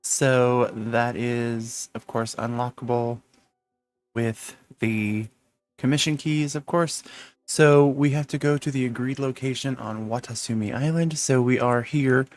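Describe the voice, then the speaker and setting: A man calmly commenting on his own video game play for his channel, with an average pitch. He talks slowly, taking his time over each step, and explains where to go next. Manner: slow, explanatory. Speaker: gaming channel host.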